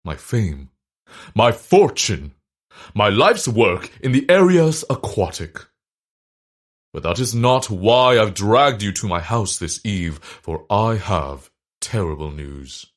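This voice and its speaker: Grandiose seafaring scientist, declamatory, lowish, very animated, comic audio drama. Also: male voice, slow. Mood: grave, melodramatic. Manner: pompous, declamatory. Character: grandiose, dramatic gentleman sailor and sea scientist.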